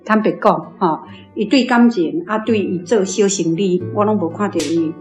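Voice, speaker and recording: A woman's voice: mid-range voice, normal speed, darkish tone, roomy sound, faint music behind. A woman from Taiwan, a reading club member, talking through her thoughts with many repeats and fillers. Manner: repetitive, filler-heavy. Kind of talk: sharing reading impressions.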